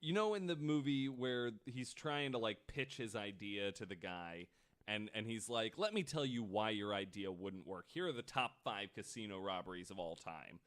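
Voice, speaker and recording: A man's voice: lowish voice, animated, moderate pace, a bit bright. A man hosting a podcast, telling stories in a casual, informal and joking way. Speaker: podcast host. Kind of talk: casual conversational storytelling.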